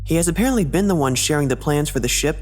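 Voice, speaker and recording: A woman's voice: low-pitched, expressive, racing, darkish tone, faint music behind. A woman playing a cautious, placating confidante in an audio drama. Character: cautious, calming female confidante.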